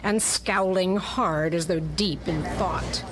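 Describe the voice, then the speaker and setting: A woman's voice: lowish voice, slow, slight background noise. A woman delivering dramatic scripted narration for a pulp detective story, as in radio theatre.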